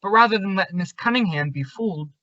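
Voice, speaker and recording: A man's voice: high-pitched, lively intonation, brisk, sharp tone, dry recording. An actor playing a suspicious, confrontational young man, insistent and accusing, in an old-time radio suspense drama. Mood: stern warning. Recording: dull, lo-fi audio.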